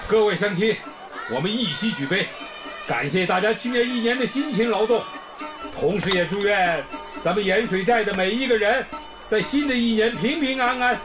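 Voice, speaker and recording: An actor playing a village leader who gives a hearty, rallying speech at a feast. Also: male voice, sits high, normal speed, natural voice. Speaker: village leader raising a toast. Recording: festive crowd and music behind.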